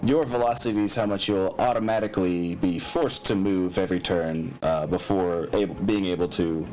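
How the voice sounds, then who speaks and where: A man's voice: low voice, normal speed, warm tone, low-quality recording. A man speaking casually as a game master, thinking aloud and explaining rules with some hesitation. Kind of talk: narrating a tabletop mech game. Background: background music.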